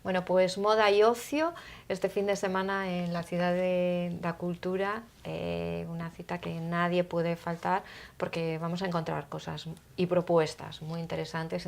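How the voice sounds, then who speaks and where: A woman hosting a studio chat show, lively and quick to laugh. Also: on the low side, creaky. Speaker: host.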